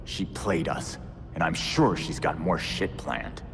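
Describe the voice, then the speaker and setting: Game vigilante: young man, gruff and blunt, very low pitch, dry sarcasm, long reverb. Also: slow, a bit bright, full character voice. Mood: grim, dry. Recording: long reverb under music.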